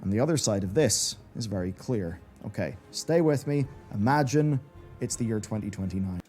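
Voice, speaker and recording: A man's voice: on the low side, animated, moderate pace, darkish tone, soft background music. A man delivering scripted commentary in the style of a video essay.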